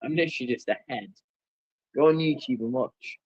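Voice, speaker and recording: A man's voice: mid-range voice, brisk, muffled recording. A man hosting a stream, chatting casually and loosely commentating on a football match, with plenty of fillers. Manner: laid-back, rambling, with many fillers.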